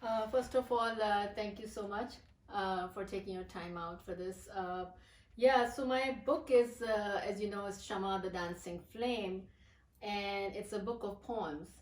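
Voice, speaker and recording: A woman's voice: medium pitch, unhurried, a little mellow. Woman, distant and echoey. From Pakistan. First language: Urdu. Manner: hesitant, many fillers and restarts. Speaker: poet, interview guest. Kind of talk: casual interview.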